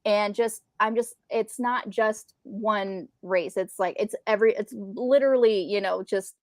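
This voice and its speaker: Woman, medium pitch, dry sound, casual book co-host, animated emphasis. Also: a little slow, no echo. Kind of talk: casual live discussion of classic books.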